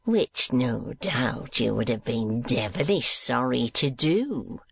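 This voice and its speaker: A man voicing a cruel, blustering middle-aged schoolmaster who sneers and bullies in a slow, menacing way. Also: medium pitch, animated, creaky, fairly bright tone, exaggerated voice. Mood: sneering. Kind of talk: audiobook character voice.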